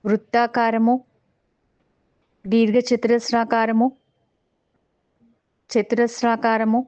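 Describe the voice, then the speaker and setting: A woman with a Telugu accent guiding a meditation in a calm, measured way, pausing between short phrases. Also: fairly high pitch, dull audio. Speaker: meditation guide. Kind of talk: guided meditation instruction.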